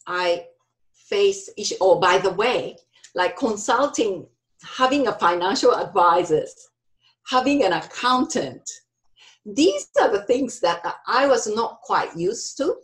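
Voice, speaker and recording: A middle-aged woman with a Japanese accent presents a workshop over a video call. She speaks slowly and carefully in a mid-range voice, pausing with ums and restarting phrases as she goes. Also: mid-range voice, poor audio. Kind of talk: online workshop talk with slides.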